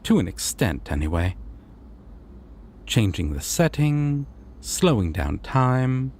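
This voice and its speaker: A man playing a courteous, solemn god of dreams in a fantasy audio roleplay, with formal, deliberate phrasing. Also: mid-range voice, very animated, darkish tone, a little hiss or hum. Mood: calm, explanatory.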